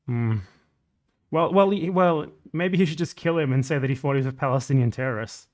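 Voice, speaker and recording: A man's voice: on the high side, fairly quick, darkish tone. A man hosting a livestream, chatting casually, mostly with short interjections, blunt and profane. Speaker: streamer host.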